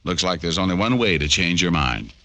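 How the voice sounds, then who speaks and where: An actor playing a wisecracking, cynical American private detective with a wry manner in an old radio drama. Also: male voice, low voice, lively intonation, fairly quick, over loud music. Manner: wry, sardonic. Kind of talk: 1940s radio drama acting with narration.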